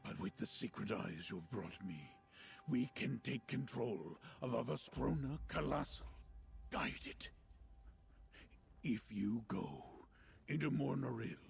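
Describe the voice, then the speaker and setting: A man voicing a solemn, ancient elder in a fantasy video game, with a gravelly voice and slow, weighty delivery. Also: on the low side, slight background noise, heavily acted. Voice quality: gravelly, creaky. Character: solemn, ancient male elder and ritual keeper. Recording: music behind the voice. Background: game music.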